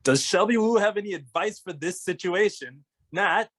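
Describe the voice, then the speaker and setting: A man voicing a level-headed, skeptical young detective in a cartoon comedy, with a dry, deadpan manner. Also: high-pitched, moderate pace. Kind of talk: animated comedy table read.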